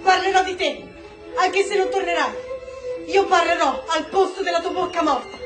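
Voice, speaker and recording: An extremely high female voice with faint music behind it as a solemn, intense figure of the stage. She declaims poetically, measured and theatrical. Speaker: actor. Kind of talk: poetic, declamatory Italian radio drama acting.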